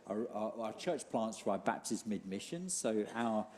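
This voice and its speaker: Casting: middle-aged church leader, mellow low-key tone, faint room hum, stop-start phrasing. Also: male voice, animated, a little slow. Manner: hesitant, many restarts and fillers. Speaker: church leader hosting an interview. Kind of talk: church interview. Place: church hall.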